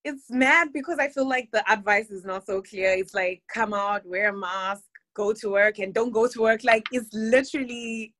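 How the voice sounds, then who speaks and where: A woman's voice: fairly high pitch, fairly even intonation, moderate pace, fairly bright tone. A woman from Zimbabwe, a guest musician, chatting easily in an interview and laughing often. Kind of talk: casual interview chat. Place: based in London, on a remote call.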